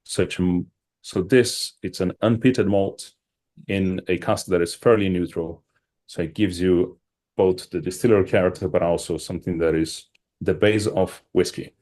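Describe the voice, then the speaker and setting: A man's voice: low voice, steady tone, normal speed, a little mellow. A man from Brazil answering interview questions over a video call, explaining at length in a thoughtful way with many fillers and restarts. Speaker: wine and whisky shop owner, guest.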